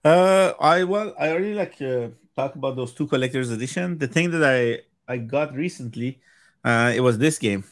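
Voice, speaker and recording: A man in his thirties whose first language is Farsi, a game collector chatting as a podcast guest over a video call, halting and full of fillers and restarts. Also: medium pitch, animated, no echo, studio quality. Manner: hesitant, many fillers and restarts. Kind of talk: casual chat.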